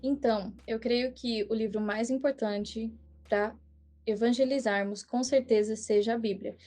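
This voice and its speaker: A young woman whose first language is English, telling her story as a guest on a podcast in an earnest, measured way with small restarts. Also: fairly high pitch, fairly even intonation, mellow. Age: young adult. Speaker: guest missionary. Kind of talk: casual podcast interview.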